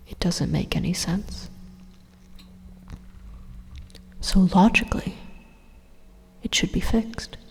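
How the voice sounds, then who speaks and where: Drenched in long reverb, an evil supercomputer played by a woman speaks in a very breathy half-whisper. It is slow and deliberate, a calmly menacing machine coaxing its listener in an ASMR roleplay. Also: mid-range voice, exaggerated voice. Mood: grave, reasoning. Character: evil robot supercomputer, coolly persuasive, utopian zealot.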